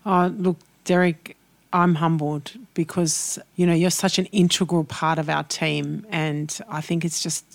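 A woman hosting a podcast, talking in an effusive, praising, warm way. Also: low voice, level tone, a little slow, gravelly, no room sound. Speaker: podcast host. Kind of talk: casual podcast chat.

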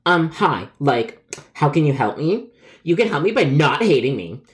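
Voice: mid-range voice, sing-song, measured pace. A man voicing a whiny, melodramatic male customer with a grievance in a comedic phone story. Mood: wounded, whining. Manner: melodramatic, whining, yelling.

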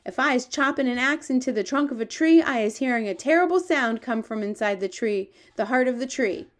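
An earnest, big-hearted giant from a children's storybook, acted out by a woman. He speaks in a raised, high-set pitch for a giant, with proud wonder and quaint, muddled grammar. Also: expressive, fairly quick. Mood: solemn, awed. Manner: ungrammatical, quaint invented words, wonder-filled.

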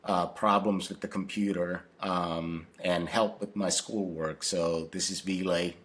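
A man from Finland, answering interview questions in a halting way, full of fillers and restarts. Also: deep voice, fairly even intonation, fairly bright tone. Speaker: podcast guest, company founder.

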